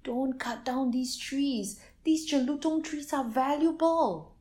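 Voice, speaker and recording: A woman voicing a shocked village woman who insists with shrill, repeated exclamations. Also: slow pace, dark tone. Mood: insistent.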